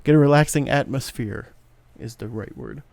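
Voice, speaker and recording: A young man hosting a gaming channel, talking calmly and softly with some rambling restarts as he plays a puzzle game. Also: mid-range voice, moderate pace, creaky. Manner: soft-spoken, calm, rambling with restarts. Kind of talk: relaxed gameplay commentary.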